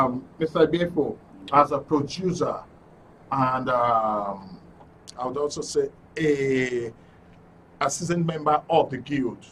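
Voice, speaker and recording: A Nigerian man hosting a live studio interview, speaking in a wordy, halting way with formal courtesy. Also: medium pitch, fairly bright tone. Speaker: talk show host. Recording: distant mic with faint noise.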